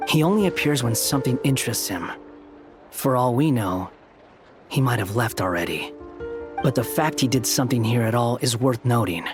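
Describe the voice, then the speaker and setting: A man's voice: medium pitch, animated, normal speed, music in the background, close to an everyday voice. An actor playing an aloof, sardonic young male scholar in a video game, with a cool, dry, clipped delivery.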